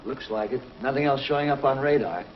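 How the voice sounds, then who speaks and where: An actor playing a calm, measured young male hero pilot in a 1950s TV drama, on a muffled old soundtrack. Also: mid-range voice, slight background noise, lightly acted. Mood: steady.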